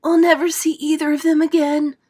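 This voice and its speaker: A woman voicing a fretful, soft-hearted ladybird who wails anxiously in a raised voice. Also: high voice, little pitch movement, a little fast, pitched above the performer's own voice, close to an everyday voice. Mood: distraught. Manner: wailing, anxious.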